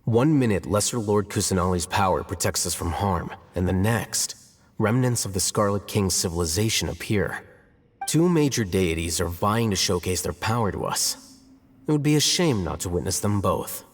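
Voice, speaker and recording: An actor playing a composed, aloof, intellectual scholar in a video game, speaking in a calm, dry, cerebral way. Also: male voice, low voice, moderate pace, slight background noise. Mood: intrigued. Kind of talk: video game character acting. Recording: long reverb, faint music behind.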